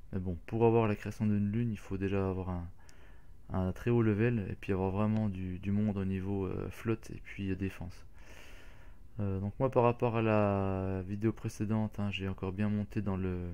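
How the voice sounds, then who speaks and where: A man's voice: on the low side, mellow. A man hosting a casual game walkthrough, talking hesitantly with many fillers and restarts. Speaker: gaming channel host.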